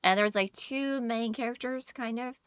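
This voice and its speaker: Woman podcast host just chatting, bright voice, dry close sound, agrees a lot, informal. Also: a little high, normal speed, clean tone, no echo. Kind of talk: casual chat.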